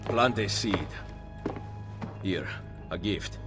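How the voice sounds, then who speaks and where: An actor playing a grave, resolute Italian master assassin in a video game, measured and commanding, with an Italian accent. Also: male voice, deep voice, loud music behind the voice. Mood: brooding. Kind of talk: video game cutscene acting.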